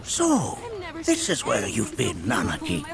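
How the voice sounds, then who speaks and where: An actor playing a wise, impish old village elder in a video game, with a grandiose, formal way of talking. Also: male voice, on the low side, huge pitch swings, unhurried, vocal fry, a crowd in the background, heavily acted. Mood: courtly, sly. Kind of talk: video game cutscene acting. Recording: loud music behind.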